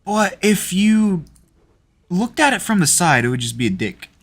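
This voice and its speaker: A man's voice: high voice, big pitch swings, moderate pace. A man streaming a building game, chatting casually and cracking crude jokes as he builds. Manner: crude joking banter while building. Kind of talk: casual gaming stream chat.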